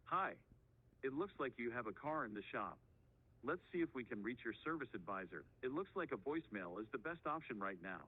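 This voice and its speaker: A man's voice: medium pitch, expressive, brisk, husky. Phone-line man reading automated prompts. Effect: telephone. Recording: narrow, tinny phone line.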